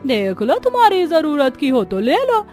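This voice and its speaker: A woman puts on an obliging, chatty village auntie for a cartoon. She is an older, generous neighbour with a fairly high voice. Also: wildly swooping pitch, normal speed, a little mellow, faint music behind. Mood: casual, helpful.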